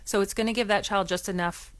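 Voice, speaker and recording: A woman's voice: medium pitch, steady tone, quick pace, dry recording. A woman on a radio talk show, explaining things earnestly and restarting her sentences often. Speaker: guest from a swim lesson nonprofit. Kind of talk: radio call-in discussion.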